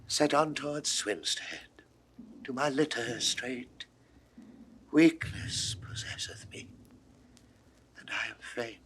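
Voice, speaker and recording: A man's voice: mid-range voice, slow pace, pauses often, a bit bright, slightly rough. An actor playing a sick, weary English king, weak and half-whispering, in classical Shakespearean style. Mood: weak, feverish. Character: feverish, failing English king, weary and faint. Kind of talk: 1960s classical Shakespeare audio drama acting. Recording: noisy vintage 1960s recording.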